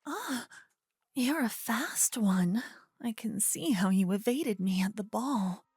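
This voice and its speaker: A woman's voice: medium pitch, expressive, slow pace, a little mellow, slightly rough, dry recording, clean studio sound, heavily acted. A woman playing a flamboyant, dramatic female royal tailor in a fantasy ASMR roleplay, bubbly and haughty. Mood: impressed, chatty.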